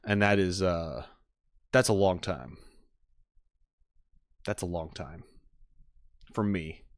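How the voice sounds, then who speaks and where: A man chatting casually on a livestream as he reacts to game trailers, rambling in an animated, self-deprecating way. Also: low-pitched, slow, no room sound.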